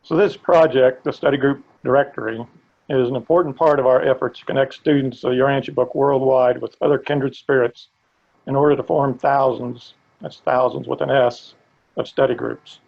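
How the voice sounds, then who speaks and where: An older man with a gravelly voice, earnestly presenting a live training webinar. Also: mid-range voice, moderate pace, lo-fi sound. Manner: earnest, steady, occasional restarts. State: gravelly voice today.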